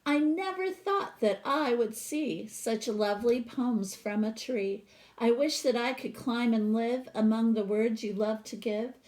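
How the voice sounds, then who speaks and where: An earnest storybook girl who writes poems, voiced by a woman reader. She speaks slowly in a fairly high voice, with a singsong, heartfelt lilt. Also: darkish tone, natural voice, low-quality recording. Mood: wistful, heartfelt. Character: earnest young girl poet who loves a tree.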